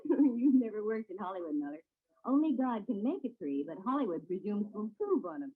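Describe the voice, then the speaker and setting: A woman's voice: medium pitch, big pitch swings, moderate pace, breathy edge. A breezy, teasing lady private eye from a 1940s radio mystery. She plays it in period radio style, through a muffled, narrow old broadcast recording. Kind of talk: 1940s radio detective drama acting.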